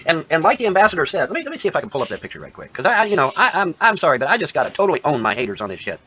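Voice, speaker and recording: A man's voice: fairly high pitch, huge pitch swings, very fast, sharp tone, slight background noise. A man giving a rambling, folksy commentary to his viewers, self-assured and calling them folks. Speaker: commentator in a played video clip. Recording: lo-fi dull sound.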